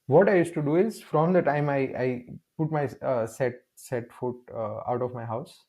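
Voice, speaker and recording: A young man from India talks through his experiences in a mellow, relaxed voice. He tells stories with energy, acts out the other voices in a conversation, and often restarts his sentences as he goes. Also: medium pitch, normal speed. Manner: animated storytelling, acts out dialogue, many restarts. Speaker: guest. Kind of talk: casual conversational interview.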